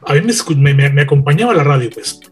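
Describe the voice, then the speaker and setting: An earnest man with a Mexican Spanish accent reflects as a panel guest. His voice is husky and a bit high, and faint music plays under it. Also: lively intonation, fast talker. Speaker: radio broadcaster guest. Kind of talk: panel conversation.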